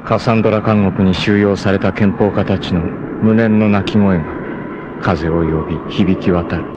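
Man acting a low-voiced, sardonic anime warrior. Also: fairly even intonation, moderate pace, highs cut off, lightly acted. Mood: grave, ominous. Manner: cool, sardonic, dramatic delivery. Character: proud, cool, long-haired male martial arts warrior. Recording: noisy 1980s anime soundtrack.

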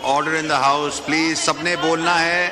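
A man chairing a parliament session, slowly repeating calls for order. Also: fairly high pitch, flat delivery. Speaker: speaker of the assembly. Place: parliament chamber.

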